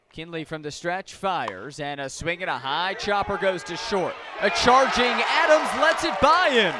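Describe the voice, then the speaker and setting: Male radio baseball play-by-play voice, highly animated and dramatically paced, over clear crowd noise and stadium music. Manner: animated, dramatic pauses, swelling on big plays. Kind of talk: live radio sports commentary. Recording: crowd and music behind the commentary. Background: ballpark crowd and stadium music.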